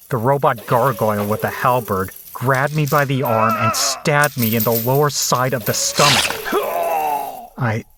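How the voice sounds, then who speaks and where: A man playing a frightened, battered captive in a horror audio drama, telling the ordeal in the first person with deliberate, dramatic delivery. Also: mid-range voice, lightly acted. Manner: deliberate, dramatic storytelling with hesitant, pained dialogue.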